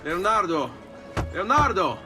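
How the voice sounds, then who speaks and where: A man's voice: medium pitch, very animated, moderate pace, music in the background, close to an everyday voice. An actor playing a bold, vengeful young Italian man with an Italian accent in a video game cutscene. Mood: urgent.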